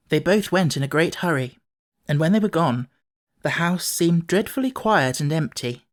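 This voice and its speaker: Man narrating a children's storybook. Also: a little high. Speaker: audiobook narrator.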